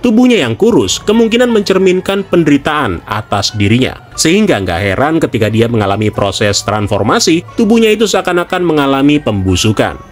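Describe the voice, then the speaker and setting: Lively male explainer over music. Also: fairly high pitch, fairly quick, hardly any pauses. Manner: conversational, addresses viewers directly. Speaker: video narrator. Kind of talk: semi-casual scripted explainer narration. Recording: music behind the voice. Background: background music.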